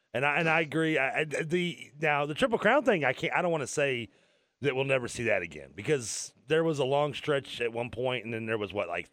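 A man co-hosts a radio sports talk show, rambling and joking as he trips over his words. His voice is mid-pitched, and the studio sound is close and dry. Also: fairly bright tone, dry recording. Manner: rambling, joking, stumbling restarts.

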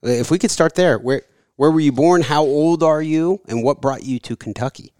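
Male podcast host, smooth clear voice, dry close sound, easygoing interviewer. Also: on the high side, moderate pace, dry recording. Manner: relaxed, conversational, some filler words. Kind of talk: casual interview and read sponsor ads.

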